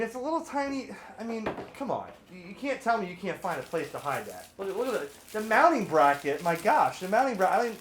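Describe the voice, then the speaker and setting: A man with a voice a little higher than most is presenting a product in a loose, unscripted way. He is chatty and informal, rambling into animated asides and leaning hard on the points he wants to make. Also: a little hiss or hum. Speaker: video host, radio hobbyist reviewer. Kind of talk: casual off-the-cuff product review.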